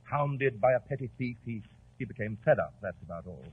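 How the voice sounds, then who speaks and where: An actor in a 1940s radio drama playing a pompous defence barrister with a rhetorical, blustering delivery. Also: male voice, mid-range voice, expressive, a little fast, a little hiss or hum, natural voice. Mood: gloomy, rhetorical. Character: pompous male defence barrister, secretly guilty, indignant. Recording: muffled, tinny old radio recording.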